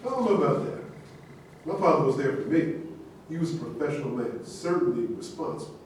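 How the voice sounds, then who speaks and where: A man's voice: a little high, animated, brisk, a little mellow, natural voice. A man in a staged reading, playing a self-assured, contrary optimist. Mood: firm. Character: self-assured, contrary optimist who insists he's a winner. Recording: echoey room from far off, faint noise.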